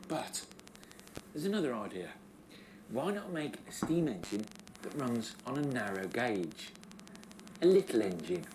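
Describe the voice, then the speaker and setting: A man's voice: medium pitch, very animated, unhurried, long pauses, noisy background. Enthusiastic male documentary presenter.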